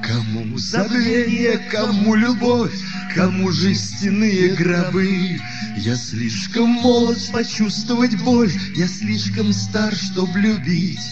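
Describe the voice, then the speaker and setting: A man's voice: high-pitched, expressive, slow pace, lo-fi sound. A man singing an acoustic bard song as a singer-songwriter. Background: plucked acoustic guitar.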